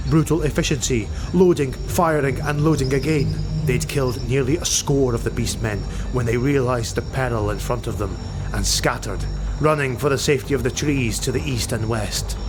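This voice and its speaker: A man reading a fantasy audiobook as narrator in a measured, dramatic style. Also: mid-range voice.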